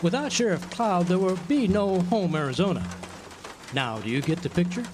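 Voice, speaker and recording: Man playing pompous, swooping, high-voiced genial drunk. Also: expressive, normal speed, darkish tone, a little hiss or hum. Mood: wry, expounding. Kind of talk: radio drama acting.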